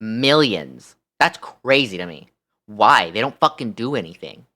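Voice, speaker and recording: Young American male streamer, sharp forward tone, casual chat that tips into animated, sweary exasperation. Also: on the low side, measured pace. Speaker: live streamer.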